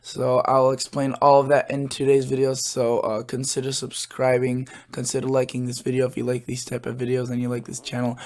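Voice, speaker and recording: A man hosting his weather channel, his voice a bit hoarse, explaining forecast charts in a casual, halting way with lots of filler words. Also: medium pitch, monotone.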